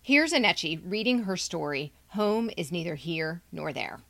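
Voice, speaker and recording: A woman's voice: on the low side, sing-song, moderate pace, vocal fry. A woman hosting a podcast, reading scripted introductions and announcements. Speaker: podcast host.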